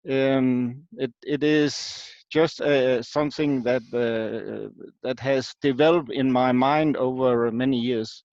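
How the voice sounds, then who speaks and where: Slow, halting Danish-accented man on a dull connection. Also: mid-range voice, fairly even intonation, darkish tone, no room sound. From Denmark. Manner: halting, hesitant, very frequent ums and pauses. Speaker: guest expert, marketing professor. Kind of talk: unscripted explanation in an online interview. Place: video call. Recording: dull lo-fi online call audio.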